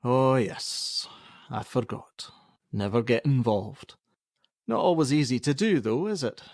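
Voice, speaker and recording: A man's voice: mid-range voice, lively intonation, measured pace, vocal fry, darkish tone, no echo, studio quality. A male actor with a Scottish accent playing a blunt, prickly middle-aged father who works at a helpline, in a radio play. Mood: wry, guarded. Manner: blunt, argumentative, sarcastic.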